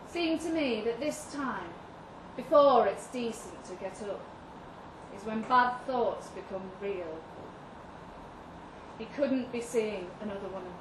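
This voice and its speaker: Female story reader, higher pitch, measured literary delivery; roomy live recording with clear background noise. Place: live literary event venue in London. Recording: roomy, distant mic with audible noise.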